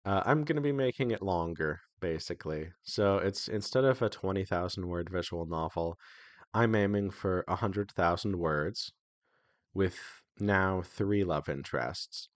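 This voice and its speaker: A man with a low voice speaks as an indie developer hosting a devlog. His delivery is informal and conversational, with hesitant phrasing that often restarts. The sound is dry, with very little room in it. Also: a little slow, dry recording. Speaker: indie visual novel developer and devlog host. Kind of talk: casual devlog talk.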